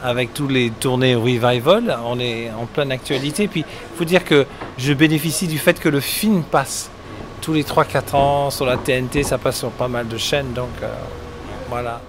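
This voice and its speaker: A middle-aged man from England talks easily at a mid-pitched level, wandering through his answers with pauses and restarts. He is recorded outdoors, with clear traffic and street sounds behind him. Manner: relaxed, rambling, many hesitations and restarts. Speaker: musician guest. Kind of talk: casual interview answers. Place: outdoors at a summer concert event. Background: traffic and street ambience.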